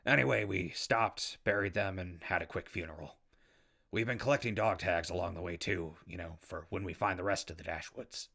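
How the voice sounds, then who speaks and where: Wasteland survivor lead, male actor, audio drama: very low, creaky voice, sarcastic, colloquial, decent but fed up. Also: normal speed, bright, forceful tone, heavily acted. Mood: weary. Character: wry, weary, good-hearted, easily exasperated male wasteland survivor. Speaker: protagonist and narrator. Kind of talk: post-apocalyptic audio drama acting.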